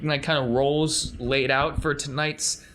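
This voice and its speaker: A man's voice: on the high side, level tone, measured pace, fairly bright tone, slight background noise. A man working as a game master, improvising scene narration and chatting casually with players.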